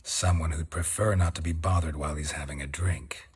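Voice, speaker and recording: Very deep, husky stoic mercenary. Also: male voice, brisk, exaggerated voice. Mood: cold menace. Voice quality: gravelly, husky. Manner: terse, flat, dry. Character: gruff stoic male monster-hunting mercenary, weary and menacing. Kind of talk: video game acting.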